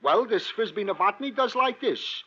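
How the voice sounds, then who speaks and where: An actor playing a chatty, naive, eager police sergeant as comic relief in a 1940s radio drama. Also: male voice, high-pitched, lively intonation, normal speed, sharp tone, breathy edge, exaggerated voice. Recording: dull vintage radio recording.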